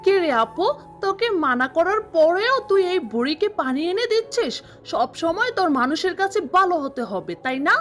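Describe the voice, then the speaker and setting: A woman voicing a bratty, sharp-tongued girl, the younger sister in a cartoon, who talks in a snappy, scornful way. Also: very high voice, big pitch swings, normal speed, soft background music, heavily acted. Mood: accusing.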